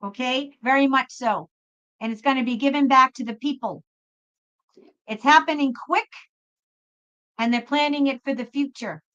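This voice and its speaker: Female tarot host, high voice, chatty and emphatic, repeats herself; muffled live-stream sound. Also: moderate pace, pauses often, fairly bright tone. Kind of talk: live spiritual tarot reading, casual chat with viewers. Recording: dull lo-fi live stream audio.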